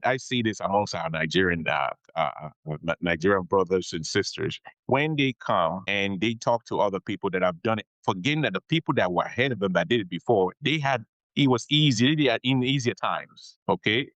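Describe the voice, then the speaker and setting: A man's voice: lowish voice, lively intonation, normal speed, a bit bright, dry recording. A man being interviewed on a podcast as an immigration attorney, explaining things patiently, repeating his key advice and often checking in with "okay?".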